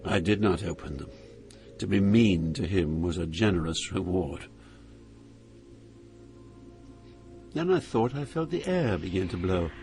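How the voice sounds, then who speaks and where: An actor playing a grave, awestruck pilgrim, narrating an epic journey through Hell in a solemn, measured way. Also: male voice, low voice, animated. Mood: somber. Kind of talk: dramatic scripted narration of an epic poem. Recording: loud music under the voice.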